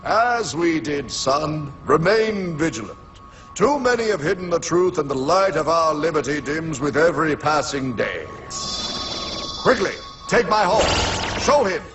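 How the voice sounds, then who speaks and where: Dignified founding father played by a man, slow solemn oratory. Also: a little high, very animated, highs cut off, over music. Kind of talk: cartoon voice acting.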